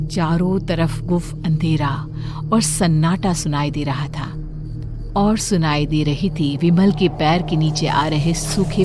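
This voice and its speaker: Woman, story narrator, scripted horror tale, lower pitch, prominent background music. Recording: clear music bed behind narration.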